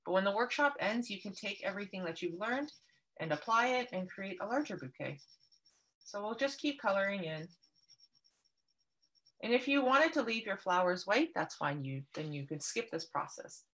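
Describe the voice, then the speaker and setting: A woman's voice: medium pitch, very animated, normal speed, a bit bright, rough recording. A woman from Canada leading an online paper craft workshop, explaining each step patiently and kindly over a video call. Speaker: art workshop instructor.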